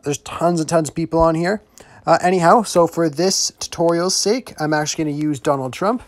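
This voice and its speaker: A man's voice: on the high side, fairly quick, no room sound. A young adult man hosting a casual tutorial, narrating step by step as a voice-over on a close mic. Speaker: host of app tutorials.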